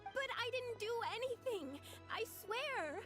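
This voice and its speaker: A woman playing a brash, headstrong young girl in a video game, high-strung and emotional. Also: expressive, measured pace, over loud music. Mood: frightened, confused.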